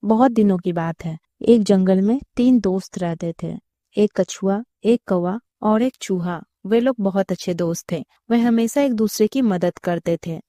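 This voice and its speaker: Female storyteller for kids' tales, soft dark tone, very dry close recording. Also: mid-range voice, measured pace, dry recording. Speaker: children's storyteller. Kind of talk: scripted story narration.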